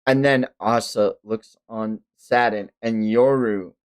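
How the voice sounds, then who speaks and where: A man's voice: lowish voice, fairly even intonation, slow pace. A man streaming a casual manga read-along, narrating with amused, sweary commentary. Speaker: streamer reading manga aloud.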